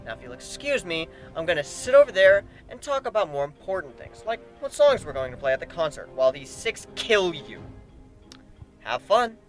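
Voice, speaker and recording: Man playing a brash, sarcastic griffin warrior, lively. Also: on the high side, normal speed. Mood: mocking. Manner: mocking, flippant, emphatic. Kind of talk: dramatic fan fiction reading. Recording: background music under the voice.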